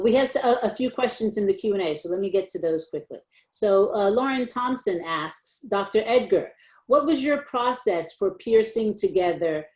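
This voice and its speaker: A woman's voice: medium pitch, darkish tone, roomy sound. A woman moderating an online talk, asking questions hesitantly with many fillers. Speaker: moderator, associate professor.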